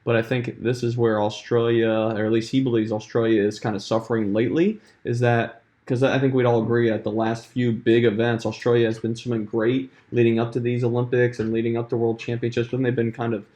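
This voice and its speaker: A man's voice: mid-range voice, flat delivery, a little fast, few pauses, warm tone. Guy who's a sports scientist, talks it through on a podcast, ums a lot. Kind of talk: casual chat.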